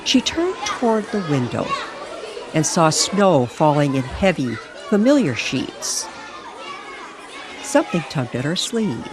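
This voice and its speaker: A woman narrating a scripted mystery story dramatically, with deliberate, suspenseful phrasing. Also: low-pitched, wildly swooping pitch, crowd noise, background noise.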